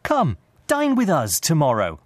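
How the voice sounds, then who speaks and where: A man voicing a cheerful, warm-hearted young nephew in a storybook reading, eager, earnest and pleading. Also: fairly high pitch, wildly swooping pitch, measured pace, no room sound, voice pushed up, exaggerated voice.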